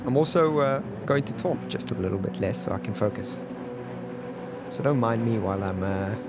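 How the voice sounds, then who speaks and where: A man's voice: lowish voice, expressive, moderate pace. South African man over roaring engine noise. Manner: chatty, thinking aloud while racing. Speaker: sim racing streamer. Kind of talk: live gameplay commentary. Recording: loud racing game engine noise behind. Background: loud car engine sounds from the game.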